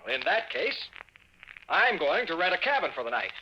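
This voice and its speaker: A man's voice: on the high side, very animated, brisk, sharp tone, slight background noise, exaggerated voice. An actor playing a fussy, exasperated haunted man in a 1950s radio comedy, prim and wordy in his manner. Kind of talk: 1950s comedic radio acting and first-person narration. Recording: muffled old radio recording, music behind.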